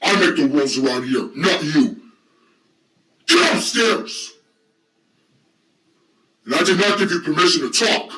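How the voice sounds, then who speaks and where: A man's voice: fairly high pitch, sing-song, measured pace, pauses often, pushed and bright, recorded from a distance, exaggerated voice. A man playing an abusive staff member who barks orders and hurls insults, in an amateur drama. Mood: furious. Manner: barking orders, hurling insults. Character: abusive male institution staffer, bullying and cruel. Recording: echoey room, voice from afar.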